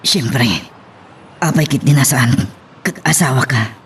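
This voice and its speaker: A man acting as a guilty Ilocano husband in an audio love drama, begging and apologetic in a halting way. His voice is mid-pitched, with noise behind it. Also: fairly bright tone. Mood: evasive, nervous. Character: guilty, pleading unfaithful husband and father.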